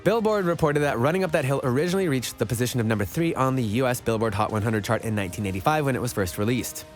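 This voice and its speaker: A man's voice: mid-range voice, animated, fast, soft background music. A man reading scripted narration for an online countdown list video.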